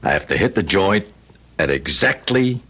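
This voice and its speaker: Husky, low-voiced cocky stick-up man. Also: very animated, moderate pace, pushed and bright, full character voice. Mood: confident, scheming. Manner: confident, insistent tough-guy talk. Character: cocky hard-boiled young stick-up man planning a robbery. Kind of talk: old-time radio drama acting. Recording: dull old radio recording.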